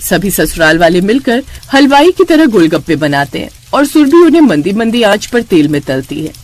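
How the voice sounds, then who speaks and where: उतार-चढ़ाव से कहानी सुनाती महिला. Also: female voice, mid-range voice, sing-song, normal speed. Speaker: storyteller. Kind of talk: scripted animated storytelling narration.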